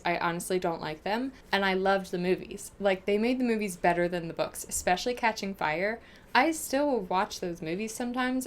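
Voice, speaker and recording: A young American woman talking casually and informally to viewers as a book vlogger, gushing and animated as she answers questions. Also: medium pitch. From United States.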